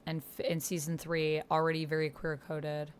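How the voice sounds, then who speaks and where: A woman's voice: low-pitched, completely flat delivery, moderate pace, a little hiss or hum. A woman hosting a roleplay livestream, chatting casually, muttering to herself and reading typed posts aloud. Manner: chatty, muttering to self while typing. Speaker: host of a live tabletop roleplay stream. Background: computer keyboard typing.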